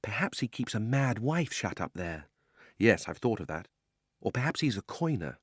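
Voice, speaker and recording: A man voicing a miserable, grubby young boy who is loud and aggrieved one moment and hushed and secretive the next. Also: fairly low pitch, big pitch swings, moderate pace, slightly rough, no echo, studio quality. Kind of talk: storybook character voice.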